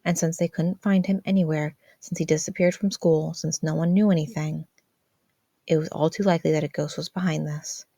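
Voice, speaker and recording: A woman's voice: sits low, steady tone, a little fast, creaky, warm tone, no echo. A woman reading scripted fan fiction narration as a podfic narrator.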